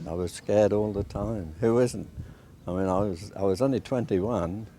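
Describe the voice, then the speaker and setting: Deep-voiced elderly man over loud noise. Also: moderate pace. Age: 80s. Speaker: war veteran being interviewed. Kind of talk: personal recollection. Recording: loud background noise, clicks.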